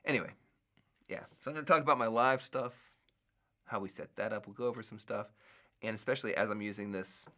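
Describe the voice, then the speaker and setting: A man's voice: lowish voice, fast talker, sharp tone. A middle-aged man hosting his own show, rambling casually with many restarts and fillers. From United States. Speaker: podcast host. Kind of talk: casual solo talk.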